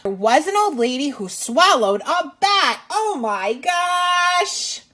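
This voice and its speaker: A woman's voice: high-pitched, big pitch swings, takes it slowly, bright, forceful tone. A woman reading a storybook aloud to young children as a teacher and host, with exaggerated expression and asides to the listener.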